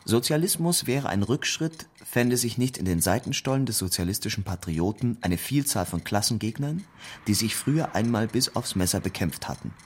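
A man's voice: fairly low pitch, lively intonation, normal speed, breathy edge. A man giving a scripted reading of a theoretical text, with declamatory, emphatic phrasing.

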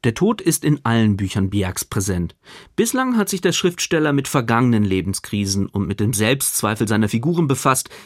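A man's voice: medium pitch, sing-song, fairly quick, no room sound, clean studio sound. A man reading a scripted radio book review as a literary critic, articulate, formal and emphatic.

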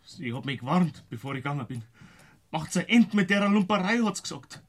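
An actor playing Flori, a young villager who is guilt-ridden and hot-tempered, speaking in an anguished, flaring way in a classic radio play. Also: male voice, fairly high pitch, expressive, a little fast.

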